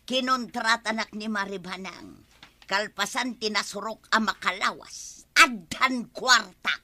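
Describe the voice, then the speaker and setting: A woman's voice: mid-range voice, measured pace, pushed and bright, dry recording. A woman with an Ilocano accent playing a whiny, grumbling village wife tired of farm work in a radio comedy drama. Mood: eager.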